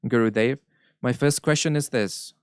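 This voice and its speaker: Smooth-voiced man interpreting. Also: mid-range voice, no room sound, studio quality. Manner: measured, earnest, occasional self-corrections. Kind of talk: live interpretation of a spiritual Q&A.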